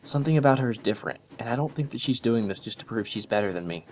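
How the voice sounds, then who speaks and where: A man's voice: medium pitch, animated, racing, a little hiss or hum, voice pushed up, lightly acted. A man voicing an earnest, principled young female unicorn pony who lectures her friend.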